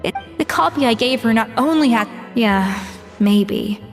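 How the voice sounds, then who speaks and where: A determined young woman from a fantasy game. Her voice is on the high side and earnest, with faint music behind and a long reverb. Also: animated, unhurried. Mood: troubled. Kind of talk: video game dialogue acting. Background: soft game music.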